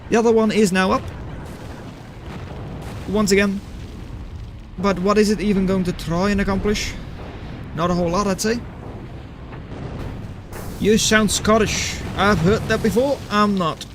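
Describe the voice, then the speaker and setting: Male streamer, fairly high voice, dry live replay commentary, faint battle sounds behind. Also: fairly even intonation, a little slow, pauses often, background noise. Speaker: game caster, streamer. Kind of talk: live esports-style replay commentary, chatting with viewers.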